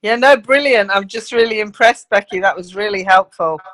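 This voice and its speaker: A woman's voice: medium pitch, moderate pace, a bit bright, low-quality recording. A woman on a team video call, enthusiastic and full of thanks for her trainer. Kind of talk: casual group video call.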